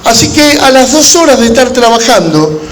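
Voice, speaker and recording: A man with an Argentine Spanish accent preaching as a pastor, telling stories in measured, deliberate phrases with pauses. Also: very high-pitched, very animated, fairly bright tone. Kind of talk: sermon to a gathering of pastors, with storytelling. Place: large room at a church conference. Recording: echoey hall with background noise.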